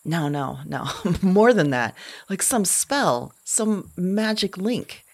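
A woman playing a breathy woman talking about magic. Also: on the low side, lively intonation, full character voice. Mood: musing, intense. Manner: searching, halting, repeats herself as she thinks aloud. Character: woman convinced she speaks to women across time. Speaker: lead character of an audio drama. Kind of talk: intimate dramatic monologue.

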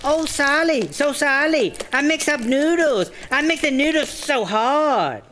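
A woman's voice: high voice, lively intonation, fairly bright tone, a little hiss or hum. A woman playing a tyrannical foreign boss who mocks and taunts in broken English, in a broad comedy audio drama. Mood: mock innocent, menacing. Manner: broken English, mocking, drawn-out.

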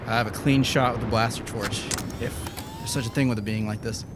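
A man's voice: medium pitch, steady tone, fairly quick, background noise, lightly acted. An actor playing a wry, trigger-happy scientist crewman in a sci-fi audio drama, with a sardonic manner. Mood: wry, cocky.

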